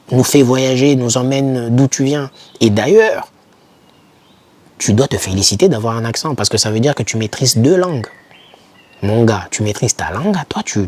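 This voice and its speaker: A young man giving informal motivational advice to camera, speaking emphatically and repeating key words to encourage the listener. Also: mid-range voice. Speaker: YouTuber advising foreign students.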